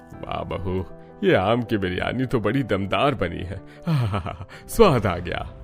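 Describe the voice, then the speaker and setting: A man's voice: sits low, animated, slow pace, creaky, soft background music, full character voice. A man playing a kindly older father-in-law of a poor family in a cartoon story. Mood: delighted. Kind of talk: Hindi cartoon story voice acting.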